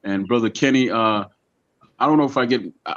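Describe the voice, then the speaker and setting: A man's voice: mid-range voice, a little fast, a bit bright, muffled recording. A man in his fifties chatting casually as a guest panelist on a boxing show. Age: 50s. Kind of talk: casual chat.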